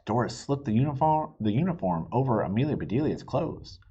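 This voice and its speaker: A man reading a children's book aloud in an expressive way, with occasional asides and stumbles. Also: mid-range voice, fairly quick, husky, muffled recording. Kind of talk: animated read-aloud narration.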